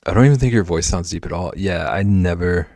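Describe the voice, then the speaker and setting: A man's voice: deep voice, a little fast, a little mellow, dry recording. A man streaming live, chatting casually and playfully with viewers while he draws. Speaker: livestreamer.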